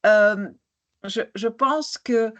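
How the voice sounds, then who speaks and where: A woman's voice: a little high, drawn-out words, dull audio. A woman speaking on a conference panel who grew up abroad. She talks with passion but hesitates, restarting often. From born in Algeria, grew up in Argentina. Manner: hesitant, many restarts, passionate about injustice. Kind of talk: panel discussion answer.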